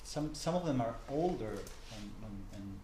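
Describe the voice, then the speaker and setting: A man from Buenos Aires with an Argentine Spanish accent, a journalist and professor giving an informal talk to a group, halting and full of restarts, often checking in with the room. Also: fairly low pitch, lively intonation. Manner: halting, many fillers and restarts, asks the room questions. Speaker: guest speaker, journalist and professor. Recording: echoey room, faint noise.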